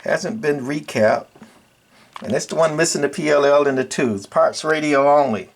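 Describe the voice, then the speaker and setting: Older male hobbyist presenter, gravelly fry in the voice, off-the-cuff and folksy, faint noise behind him. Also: a little high, normal speed. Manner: rambling, colloquial, folksy. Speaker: hobbyist radio repairer and video presenter. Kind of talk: casual unscripted bench walkthrough.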